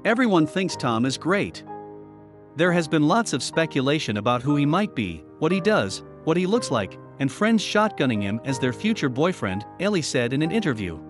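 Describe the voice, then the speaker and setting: A man narrates a scripted story in a dramatic storytelling manner. His voice sits a little high and moves up and down with very animated intonation. A music bed plays clearly behind him. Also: moderate pace.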